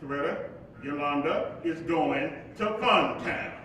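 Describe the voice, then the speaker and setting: An earnest, reflective preacher and father of the civil rights era on stage. His voice sits fairly high and is oratorical, with halting asides. It comes from far off in a very echoey, rumbling hall. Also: animated, bright, forceful tone, exaggerated voice. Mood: troubled. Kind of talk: live stage play monologue and dialogue. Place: live stage before an audience. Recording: echoey hall, distant mic, noisy rumble.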